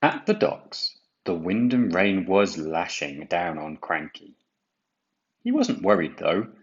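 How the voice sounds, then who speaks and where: A deep-voiced man narrates a children's storybook at a slow, deliberate pace. He leans on key words for drama. The recording sounds a little dull. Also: big pitch swings. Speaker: storybook reader. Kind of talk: expressive children's read-aloud narration.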